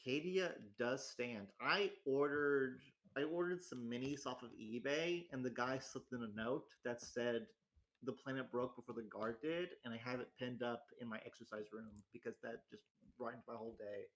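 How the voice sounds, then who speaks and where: A man's voice: medium pitch, moderate pace, fairly bright tone, rough recording. Rambling self-deprecating young man. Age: 20s. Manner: rambling, self-deprecating, many restarts and fillers. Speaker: host. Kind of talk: casual solo chat. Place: home in a rural area.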